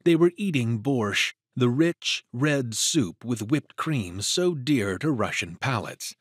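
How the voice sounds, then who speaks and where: A man narrates a dramatic story in a husky, mid-pitched voice. His delivery is very expressive, in a close, dry recording. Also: slow pace, dry recording.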